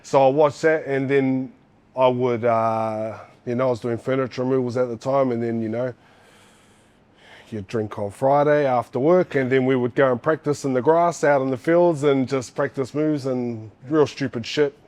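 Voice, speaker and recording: A man's voice: medium pitch, fairly even intonation, moderate pace, a little mellow. A man in his forties with a New Zealand accent, telling his story in a casual interview, rambling with fillers and restarts. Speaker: guest, former fighter.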